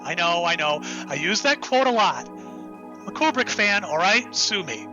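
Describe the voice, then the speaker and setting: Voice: mid-range voice, lively intonation, a little slow, sharp tone, muffled recording, soft background music. An actor playing an enthusiastic, rambling writer who created the hero, in an audio drama. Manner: enthusiastic, rambling, self-interrupting.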